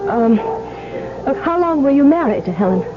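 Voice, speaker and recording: A woman's voice: sits high, sing-song, moderate pace. An American actress playing a guarded, uneasy young woman in a 1970s radio drama, hesitant and stammering. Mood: uneasy, evasive. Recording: dull old radio recording, loud music.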